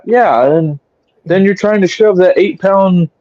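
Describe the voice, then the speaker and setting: A man chatting casually as a talk podcast guest, opinionated and crude, often halting as he speaks. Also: sits high, a little mellow, dry recording, lo-fi sound. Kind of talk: casual chat.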